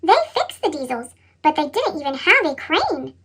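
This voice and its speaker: A woman's voice: high voice, big pitch swings, normal speed, fairly bright tone. A woman reads a children's story expressively, her voice altered with a sped-up chipmunk effect. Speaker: storybook reader.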